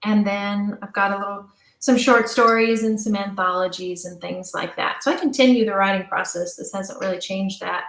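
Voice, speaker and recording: A woman's voice: mid-range voice, steady tone, normal speed, gravelly, few pauses, a bit bright. Young woman guest on an interview, earnest casual chat, echoey room sound. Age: young adult. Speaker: interview guest, audio drama writer and producer.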